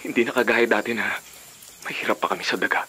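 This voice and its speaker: Forceful, husky, insistent male lover. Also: on the low side, fast talker. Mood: earnest frustration. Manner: insistent, earnest. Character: earnest, insistent young male secret lover, self-made. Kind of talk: Filipino radio drama acting.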